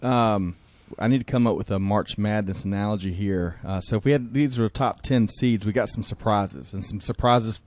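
An upbeat, chatty man hosts a business radio talk show. His voice is low, and the recording is dry and close. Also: normal speed, few pauses, a little mellow, no echo. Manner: upbeat, chatty, uses y'all. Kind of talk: casual podcast chat.

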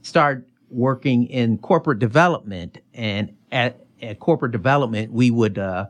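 A middle-aged man from Chicago with an American accent, answering interview questions informally, often halting and restarting. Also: medium pitch. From Chicago, United States. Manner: informal, halting, self-correcting. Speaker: interview guest, finance executive.

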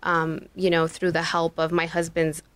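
A woman's voice: low voice, completely flat delivery, moderate pace, no room sound. A woman from Mexico whose first language is Spanish, answering interview questions in a chatty way, full of "you know" and "um". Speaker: guest, business owner.

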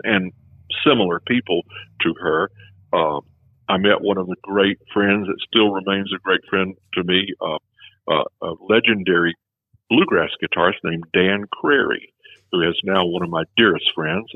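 Low husky-voiced man for a rambling anecdote, phone-quality audio. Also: no room sound. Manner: rambling, many restarts, chuckles at his own stories. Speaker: former film festival executive director. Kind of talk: casual conversation. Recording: tinny phone-like sound.

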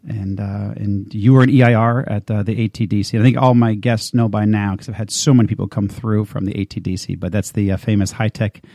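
A man's voice: fairly low pitch, fairly even intonation, a little fast, creaky, hardly any pauses, warm tone, dry recording, clean studio sound. A man hosting a radio interview show, chatting casually with many fillers and restarts.

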